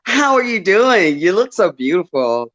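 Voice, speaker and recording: Southern woman caller, emotional and laughing, slow and swooping delivery. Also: mid-range voice, very animated, a bit bright, highs cut off. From Southern United States. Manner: laughs often, emotional and chatty. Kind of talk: casual call-in chat.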